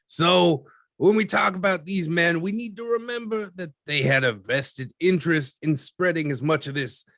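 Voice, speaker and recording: A man playing a skeptical, folksy newspaperman as a podcast guest, speaking in a measured, wry and slightly condescending way. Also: mid-range voice, fairly bright tone, no echo. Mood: dismissive. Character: skeptical, folksy, wryly dismissive male newspaperman. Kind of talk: scripted podcast interview drama.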